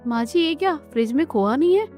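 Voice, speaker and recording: A woman's voice: high-pitched, lively intonation, a little fast, darkish tone, soft background music. A woman voicing an aggrieved young daughter-in-law in a cartoon, emphatic and dramatic. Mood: puzzled, suspicious.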